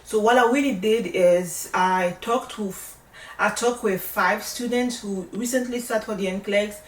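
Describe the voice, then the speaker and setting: A woman's voice: medium pitch, normal speed, slight background noise. A woman with a French accent, a native French speaker, giving casual advice as a vlogger in a reassuring, emphatic way. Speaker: vlogger, nursing study coach. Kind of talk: casual advice talk to camera. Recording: roomy echo, distant microphone.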